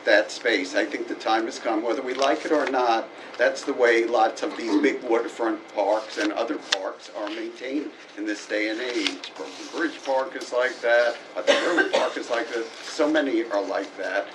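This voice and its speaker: A committee member at a public meeting giving measured, deliberate opinions. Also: male voice, medium pitch. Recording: distant mic with background noise.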